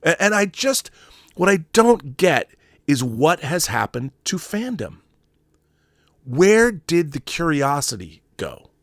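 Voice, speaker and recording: A man's voice: a little high, sing-song, slow, fairly bright tone, no room sound, clean studio sound. A middle-aged American man hosting an online show, delivering a casual, opinionated rant in an exasperated, emphatic, rambling way, often acting out imagined exchanges. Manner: rambling, acts out imagined dialogue.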